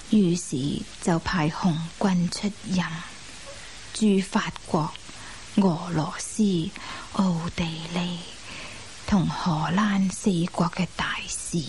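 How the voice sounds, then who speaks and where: Slow, reflective woman storyteller. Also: lowish voice, a little mellow, slight background noise, rough recording. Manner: unhurried, measured recollection. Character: reflective woman recounting her married past. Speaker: radio drama actress, narrating character. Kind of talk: Cantonese radio drama storytelling.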